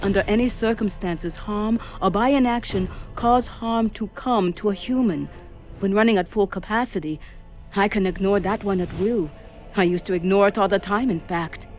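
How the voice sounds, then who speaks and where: An actor playing a damaged shipboard artificial intelligence, speaking in a measured, precise and dryly witty way in an audio drama. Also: mid-range voice, a little mellow, natural voice. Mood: dry, matter-of-fact. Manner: measured, precise, dry wit. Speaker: ship's AI character. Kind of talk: dramatised science fiction audio story.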